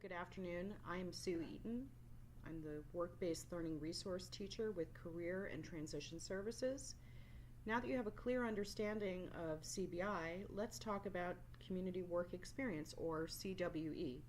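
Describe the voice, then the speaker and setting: A woman's voice: lowish voice, moderate pace, darkish tone, a little hiss or hum, poor audio. A woman teacher giving a scripted, explanatory training presentation as a voice-over. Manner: instructional, explanatory. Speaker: work-based learning resource teacher.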